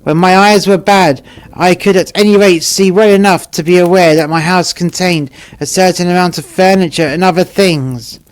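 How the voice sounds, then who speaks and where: A man voicing a shrewd old woman from a storybook fable, arguing her case deliberately. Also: sits high, steady tone, close to an everyday voice. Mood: shrewd defiance. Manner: argumentative, deliberate. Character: shrewd old woman defending herself in court.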